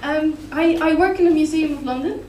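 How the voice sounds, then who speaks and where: A Dutch-accented woman with a high voice works through a stand-up set, full of hesitations and deadpan asides. She sounds distant from the microphone in an echoey club room with loud background noise. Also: brisk. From Netherlands. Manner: rambling, hesitant, deadpan absurd. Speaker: stand-up comedian. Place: comedy club stage. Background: audience laughter.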